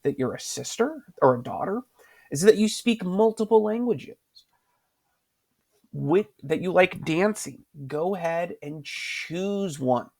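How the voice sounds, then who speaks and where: Gravelly-voiced male teacher walking through a lesson. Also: high-pitched, animated, measured pace, darkish tone. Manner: patient, repeats questions to prompt students. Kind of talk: screen-recorded writing lesson.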